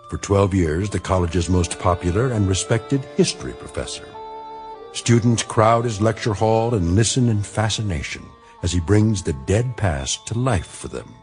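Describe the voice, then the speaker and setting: An actor narrating an anthology radio play in a measured, knowing and ominous scripted style. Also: male voice, fairly low pitch, darkish tone.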